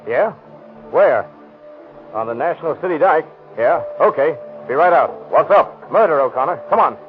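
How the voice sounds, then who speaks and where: An actor playing a businesslike male police sergeant who asks brisk, clipped questions, in 1930s radio drama style, on a tinny old broadcast recording. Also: fairly high pitch, animated, faint music behind, natural voice.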